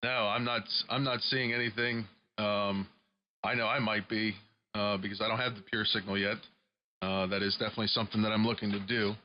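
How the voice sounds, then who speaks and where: A man's voice: on the low side, level tone, fairly quick. A man talks casually as an amateur radio operator. His delivery is easygoing and a little hesitant. The recording is slightly muffled, with faint ambient noise. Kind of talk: casual technical radio chat. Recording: slightly dull audio, faint room noise.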